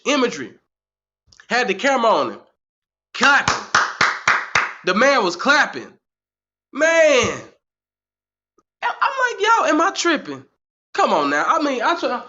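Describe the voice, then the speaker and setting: A man's voice: very high-pitched, sharp tone, dull audio. A man in his forties hosting a heated commentary stream, repeating himself and firing rhetorical questions at the chat. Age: 40s. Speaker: channel host. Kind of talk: livestream commentary, reading the chat.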